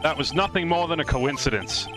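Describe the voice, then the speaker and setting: A man's voice: on the high side, lively intonation, brisk, natural voice. A man voicing an earnest, dogged young defense attorney in a live fan dub of a courtroom video game, arguing emphatically. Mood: firm, arguing. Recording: music behind the voice. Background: game music.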